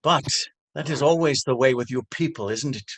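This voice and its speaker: An actor playing a cruel, taunting police officer in a stage drama, with a mocking, menacing drawl. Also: male voice, mid-range voice, moderate pace, lightly acted. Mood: cruel, gloating. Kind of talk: stage readers theater drama.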